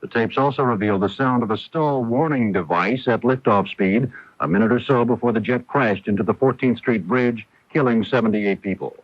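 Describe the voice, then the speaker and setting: A man's voice: medium pitch, normal speed, hardly any pauses, no room sound. An American man anchoring a scripted radio newscast, on an old broadcast recording. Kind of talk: scripted news narration. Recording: dull lo-fi 1980s radio broadcast.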